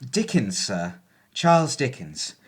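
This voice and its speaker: Polite, animated young errand boy. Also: mid-range voice, slow, breathy edge, full character voice. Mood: deferential. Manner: deferential, formal, careful speech. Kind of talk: period audio play acting.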